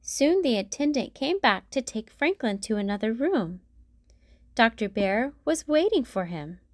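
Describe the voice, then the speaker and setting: A woman narrating a children's storybook as a read-aloud host, in a deliberate storytelling manner. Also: fairly high pitch, sing-song, no room sound, clean studio sound.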